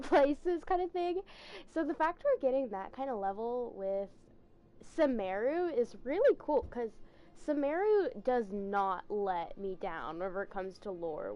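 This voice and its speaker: A woman streamer giving casual game commentary in an enthusiastic, rambling, halting way. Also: a little high, darkish tone, lo-fi sound.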